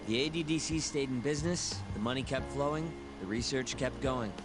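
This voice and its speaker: A man's voice: medium pitch, fairly quick, close to an everyday voice. Male actor as cocky young informant, crime game, easygoing and conversational, music behind. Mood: smug. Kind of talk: video game voice acting, conversational.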